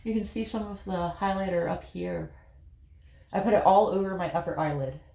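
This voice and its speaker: A woman's voice: lowish voice, normal speed, gravelly, mellow, echoey room. A woman with an Appalachian accent chatting casually as she does her makeup, rambling through a story with fillers and laughs. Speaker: vlogger. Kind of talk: casual chat while doing makeup.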